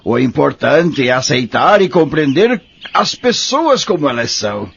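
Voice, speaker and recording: A male actor voices a wise, devout old man with a Brazilian accent. His mid-pitched voice is creaky and moves expressively, patient and gently preaching. It is a radio drama with slightly dull, lo-fi sound. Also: big pitch swings, a little slow, exaggerated voice. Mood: earnest. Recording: dull lo-fi audio drama recording.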